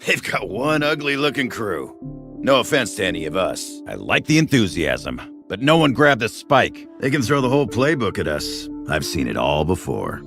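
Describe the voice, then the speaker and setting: A gruff, wisecracking veteran fighter in a game. His voice sits low but moves through wide swings, and game music plays clearly behind. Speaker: game character.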